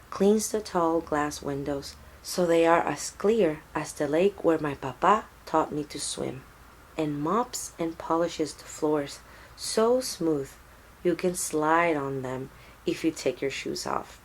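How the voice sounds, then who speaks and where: A woman with a Puerto Rican accent reads a children's picture book aloud in a simple, deliberate way for young listeners. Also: deep voice, slight background noise, rough recording. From Puerto Rico. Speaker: storyteller reading aloud to children.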